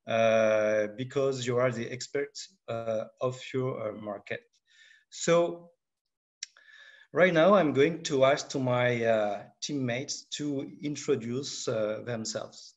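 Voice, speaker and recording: A man giving a webinar presentation on market statistics. He speaks haltingly and fills nearly every pause with "uh". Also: mid-range voice, muffled recording. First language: French. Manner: halting, with constant filler pauses. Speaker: market analysis director presenting.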